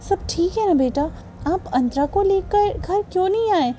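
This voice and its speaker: An anxious mother from a storybook fires worried questions at her son, her voice pitched higher than the storyteller's own, as a woman reads her. She sounds urgent and pleading, with a mellow tone. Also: lively intonation, a little slow, slight background noise.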